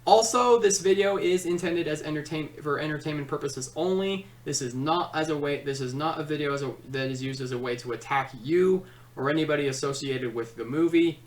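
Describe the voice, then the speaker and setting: A man hosting a comedic video review, switching between theatrical announcing and halting casual asides. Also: fairly high pitch, distant mic. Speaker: online film reviewer and host.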